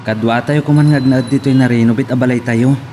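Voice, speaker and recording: A man acting a wistful elder brother, medium pitch. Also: fairly even intonation, fast, warm tone, slight background noise, natural voice. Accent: Filipino, Ilocano. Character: wistful elder brother missing his mother. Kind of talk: Ilocano radio-style drama acting. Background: clear background music.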